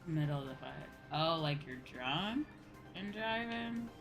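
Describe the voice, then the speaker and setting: Low-voiced woman chatting on a game stream. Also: expressive, unhurried. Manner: informal, chatty, swears casually. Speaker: livestreamer playing online games and chatting with viewers. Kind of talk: casual gaming stream chat. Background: game music.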